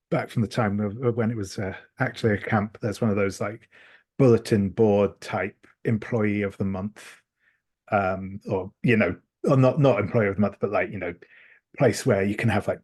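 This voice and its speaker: Guy playing an rpg, kinda raspy and low, hesitant, thinking out loud. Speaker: player in a tabletop game. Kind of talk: casual improvised description.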